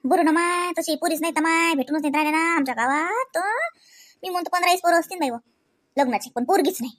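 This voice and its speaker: A woman's voice: very high voice, fast, fairly bright tone. A woman voicing chatty village aunties in a comedy cartoon, speaking Varhadi Marathi from Vidarbha in a gossipy, exclamatory, colloquial way. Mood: curious, gossipy.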